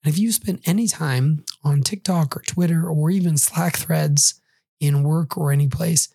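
Middle-aged male podcast host, hoarse from a lingering cold; husky, dark tone; casual wry delivery. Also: on the high side, normal speed, no room sound, clean studio sound. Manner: conversational with wry asides. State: hoarse, recovering from a cold and flu. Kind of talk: solo podcast monologue.

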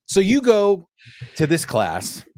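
A man hosting a casual podcast who asks questions and teases his guests in a lively way. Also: high voice, slow, no room sound. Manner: lively, leads questions, teasing. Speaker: podcast host.

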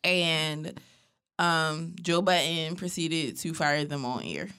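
Blunt, low-voiced woman in flat monotone. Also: takes it slowly, smooth. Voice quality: self-described natural monotone. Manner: blunt, profane, recaps at length. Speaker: podcast co-host. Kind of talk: casual chat.

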